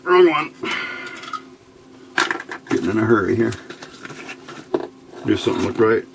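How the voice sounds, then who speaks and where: A man's voice: lowish voice, very animated, measured pace, gravelly, noisy background. A man giving an informal hands-on electronics tutorial, thinking aloud as he works. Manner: unscripted, thinking aloud while working. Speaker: electronics hobbyist instructor.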